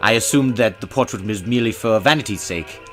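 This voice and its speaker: A man's voice: on the low side, a little fast, pitched above the performer's own voice, natural voice. A man voicing an anxious, affected count in a horror story, with florid and formal speech. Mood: genteel, effusive. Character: affected, anxious male count, a genteel heir. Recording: music behind the voice.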